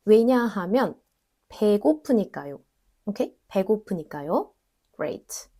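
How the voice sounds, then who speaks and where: A young woman, a native Korean speaker, explaining grammar in English in a casual online lesson and repeating Korean example words slowly. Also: mid-range voice, very animated, mellow, slightly rough. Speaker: Korean language teacher.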